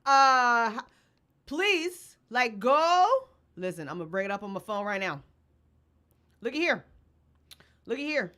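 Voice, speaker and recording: Woman, high voice, casual livestream host, playful, rambling, freely swearing. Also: sing-song, unhurried, pauses often, bright, forceful tone. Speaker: livestream host, podcast co-founder, writer and director. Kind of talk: casual live chat. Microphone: streaming setup with webcam and headphones.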